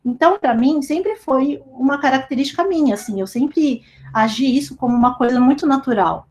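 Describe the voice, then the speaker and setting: A woman's voice: sits high, expressive, normal speed, darkish tone. A young woman giving a casual talk over a remote call, chatty and full of fillers as she tells a personal story. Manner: chatty, lots of fillers like "sabe" and "tipo". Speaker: speaker at a company talk. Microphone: remote call recording.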